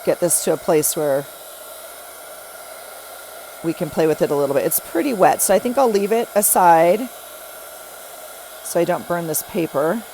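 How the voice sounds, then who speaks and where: A woman's voice: lowish voice, lively intonation, normal speed, long pauses, background noise. A middle-aged woman with a stuffy nose, chatting casually as she works on a craft project, thinking aloud with many ums and restarts. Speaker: craft vlogger.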